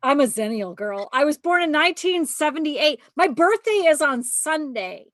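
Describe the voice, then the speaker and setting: A woman's voice: high voice, expressive, moderate pace, a bit bright, dry recording, clean studio sound. A woman in her forties co-hosting a casual chat, tipsy from cocktails, blunt and profane with lots of laughter. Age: 40s. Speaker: podcast co-host.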